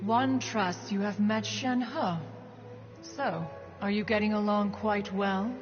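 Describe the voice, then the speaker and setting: A woman's voice: mid-range voice, fairly even intonation, takes it slowly, close to an everyday voice. A woman voicing an ancient, aloof and wise bird guardian in a video game, with formal, archaic, dignified speech. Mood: composed, lofty. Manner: formal, archaic, stately, calls self "one". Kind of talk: video game character dialogue. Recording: reverberant, dull game audio over music.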